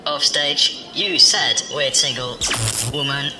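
A woman voices a mocking comedy robot. It speaks low and slowly, deadpan and mean. Long reverb and loud music surround it. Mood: scornful.

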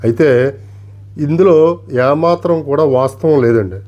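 A man giving an unscripted demonstration, explaining deliberately and politely in short, broken phrases. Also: fairly high pitch, expressive, darkish tone. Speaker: antique radio collector and presenter.

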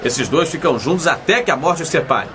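Animated, exasperated wisecracking husband played by a man. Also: fairly high pitch, fairly quick, noisy background, close to an everyday voice. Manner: sarcastic, exclaiming. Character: nagging, exasperated, wisecracking husband and anxious friend. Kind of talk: comic radio soap opera acting with narration.

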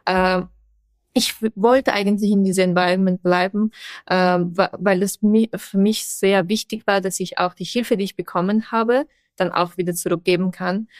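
A woman's voice: mid-range voice, fairly even intonation, moderate pace, no room sound. Young woman with an Afghan accent. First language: not German. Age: young adult. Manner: frequent hesitations and fillers, laughs often. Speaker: guest, human rights activist. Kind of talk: podcast interview.

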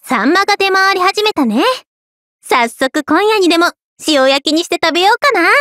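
A woman voicing an easygoing, affectionate teenage schoolgirl in an anime game, with a caring, gently teasing manner. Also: very high voice, no echo, clean studio sound, heavily acted. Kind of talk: scripted anime game voice lines.

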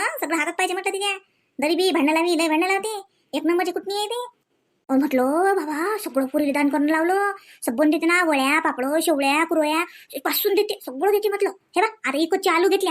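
Cartoon village gossip , acted by a woman: extremely high voice, rapid indignant grumbling, quarrelsome, Varhadi Marathi accent. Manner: indignant, complaining, colloquial. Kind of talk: Marathi comedy cartoon dialogue.

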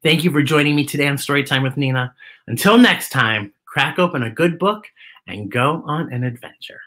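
Children's storytime host: American man, theatrical and exclamatory, very animated, bright tone. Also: a little high. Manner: theatrical, exclamatory, drawn-out emphasis. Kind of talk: children's storytime read-aloud.

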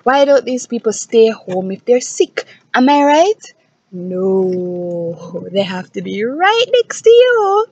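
Woman acting high-voiced, exasperated germ-averse complainer. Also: sing-song, slow pace, darkish tone, breathy edge, dull audio, heavily acted. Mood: indignant. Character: exasperated woman disgusted by sick people's germs. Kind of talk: theatrical audition monologue.

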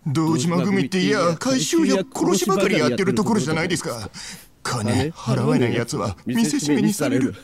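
High-pitched, desperate middle-aged debtor. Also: male voice, very animated, normal speed, a loud crowd. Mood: fearful. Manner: pleading, flustered. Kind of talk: dramatic video game cutscene acting. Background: music.

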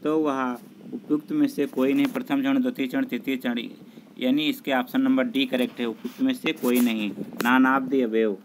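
A man's voice: mid-range voice, level tone, normal speed, a little hiss or hum, poor audio. A man working as an exam tutor, methodically reading out multiple-choice questions and their answers.

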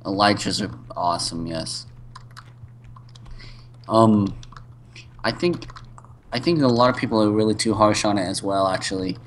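A man's voice: on the low side, moderate pace, pauses often, a little hiss or hum. A young man chatting casually while playing a game, joking and laughing often. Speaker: co-host gamer. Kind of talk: casual gaming chat.

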